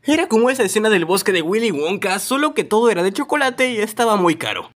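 A man tells comic stories as the narrator of an animated video. His voice sits high for a man and swings widely in pitch. The delivery is expressive and playfully exaggerated. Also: quick pace. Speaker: narrator of a fan-dubbed animated story video. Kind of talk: animated comedic storytelling narration.

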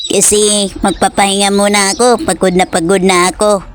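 A woman's voice: medium pitch, level tone, normal speed, slight background noise, natural voice. A woman voicing a lazy, dim-witted young man in a cartoon story who talks in a sleepy, easygoing way.

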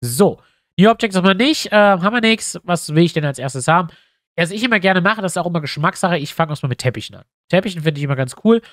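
A man with a slightly high voice and a stuffy, blocked nose talks his viewers through building a map. He thinks aloud as he goes, chatty and direct, recorded close on a desk mic in a dry room. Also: animated, quick pace, a bit bright, no echo. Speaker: YouTuber building a tabletop map. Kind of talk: casual screen-recorded tutorial.

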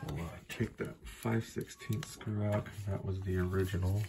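A man's voice: sits low, fairly even intonation, moderate pace, very noisy. A man working as a heating technician, talking himself through a furnace repair in a laid-back, muttering way, recorded on a phone in a cramped closet. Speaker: HVAC technician. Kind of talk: hands-on repair walkthrough. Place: cramped mobile home furnace closet, indoors.

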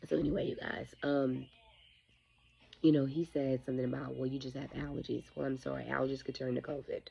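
A woman's voice: very deep voice, little pitch movement, a little fast, gravelly, dark tone, slightly rough, lo-fi sound. A woman in her forties with a stuffy, sniffly voice, bluntly warning her viewers about a company while talking into a phone held close. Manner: blunt, indignant, addresses viewers directly. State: congested, sniffling. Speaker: vlogger. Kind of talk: casual talk to camera.

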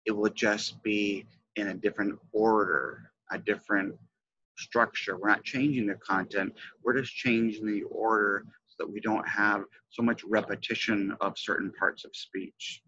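Bright-voiced middle-aged man teaching, low pitch. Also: fairly even intonation, measured pace, lo-fi sound, slight background noise. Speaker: teacher trainer presenting a lesson. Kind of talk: instructional lesson explanation. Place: indoors, webcam presentation.

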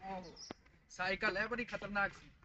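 A man's voice: high voice, steady tone, measured pace, muffled recording, background noise. A young man from India chatting playfully to viewers while trying out outdoor gym machines in a park, recorded on a handheld phone. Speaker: vlogger. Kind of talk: casual vlog chat. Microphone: handheld phone camera, moving around.

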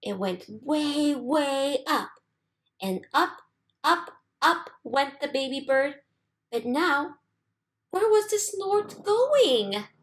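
A woman reading a children's storybook aloud expressively, with deliberate, sing-song emphasis. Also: high voice, lively intonation. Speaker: storybook reader.

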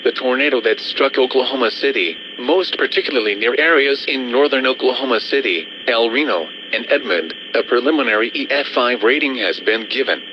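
A man's voice: mid-range voice, a little fast. A man reading a scripted weather bulletin as a radio announcer, in a formal, impersonal, synthetic delivery through a weather radio. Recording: dull, noisy weather radio broadcast.

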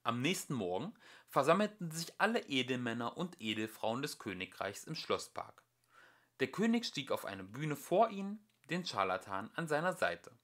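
A man reading a fairy tale aloud as an amateur audiobook narrator, with expressive delivery. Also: mid-range voice, moderate pace.

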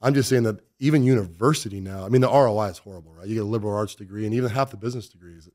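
American man, forties, lowish pitch, quick pace, emphatic podcast guest, studio mic. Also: a little mellow. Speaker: podcast guest, business owner. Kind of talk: conversational interview. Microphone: close studio mic on boom arm.

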